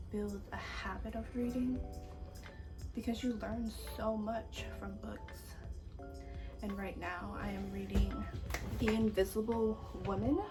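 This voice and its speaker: A woman's voice: mid-range voice, poor audio. A woman in her twenties from California, chatting casually to camera in a sit-down Q&A as a vlogger, a little self-conscious. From San Diego, California. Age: 20s. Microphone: phone camera.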